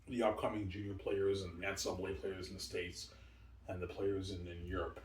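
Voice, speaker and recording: A man's voice: sits low, little pitch movement, brisk, slight background noise. A man in his forties rambling in a long monologue, repeating himself, stumbling over words and answering his own questions. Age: 40s. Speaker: video host. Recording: echoey room, far from the mic.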